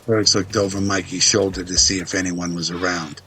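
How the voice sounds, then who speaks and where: A man's voice: low-pitched, little pitch movement, normal speed, bright, forceful tone. A man reading scripted narration for an audio drama.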